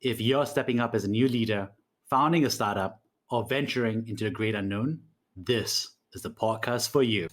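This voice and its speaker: A man's voice: on the low side, normal speed. Upbeat male podcast host. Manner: upbeat, enthusiastic. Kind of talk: scripted intro, then interview chat.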